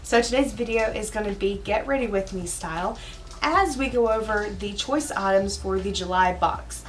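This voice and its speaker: A hoarse woman chats her way through a makeup routine, rambling with casual asides as she talks around a cough drop. She sounds a little way off from the mic, with a faint hum underneath. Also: mid-range voice, distant mic. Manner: chatty and rambling, with filler asides. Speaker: beauty vlogger. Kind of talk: casual get-ready-with-me chat.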